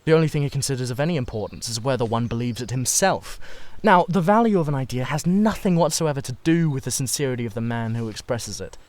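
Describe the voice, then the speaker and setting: A man's voice: medium pitch, fast talker, a little mellow, no echo, lightly acted. A man voicing a languid, witty aristocrat who speaks in teasing, cynical epigrams for a dramatised storybook reading. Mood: pompous amusement. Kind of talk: dramatised literary audiobook reading.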